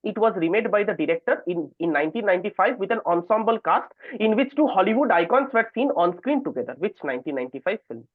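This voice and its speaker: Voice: mid-range voice, animated, fast talker, hardly any pauses, dry recording. A quizmaster reading trivia questions aloud on an online call and ruling on the answers. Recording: thin phone-like online call audio.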